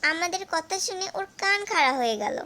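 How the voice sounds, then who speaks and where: A woman voicing a high-pitched, clever mother goat. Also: expressive, fairly quick, pushed and bright, full character voice. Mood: glib, insistent. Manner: coaxing, glib, persuasive. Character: clever mother goat, sly flatterer protecting her kid. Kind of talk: animated fable character acting.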